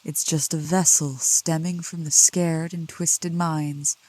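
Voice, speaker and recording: A woman playing a seductive, protective demon in a soft ASMR roleplay, speaking slowly and coaxingly in a low, gentle voice. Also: flat delivery, rough recording. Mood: teasing, seductive. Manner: slow, deliberate, coaxing, with pet names. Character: seductive, protective female demon, soothing yet scornful of cultists. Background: rain.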